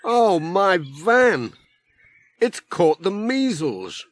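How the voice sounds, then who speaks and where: A man's voice: high voice, very animated, drawn-out words, voice pushed up, heavily acted. A man voicing a cheerful, easygoing village postman with a dry wit and chatty, folksy talk in a children's audiobook. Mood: wry.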